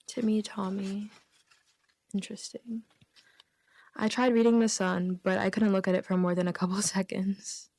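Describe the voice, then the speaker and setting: A woman with a mid-pitched voice in a very dry recording. She is a guest on a comedy show, reading written jokes aloud and dropping in casual comments of her own. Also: flat delivery, moderate pace, long pauses, darkish tone, no echo.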